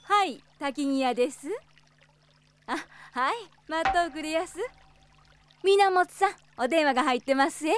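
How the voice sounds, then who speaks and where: A gracious proprietress of a Kyoto inn. Her voice sits high, her phrasing polite and traditional, with a Kyoto accent. It is an audio drama with clear noise behind her. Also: measured pace. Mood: courteous, welcoming. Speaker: innkeeper.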